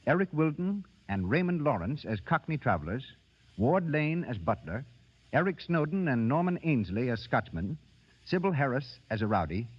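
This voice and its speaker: Male radio announcer, 1930s. Formal scripted delivery with a lively melodic swing, in a muffled, reverberant broadcast. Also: mid-range voice, slightly rough.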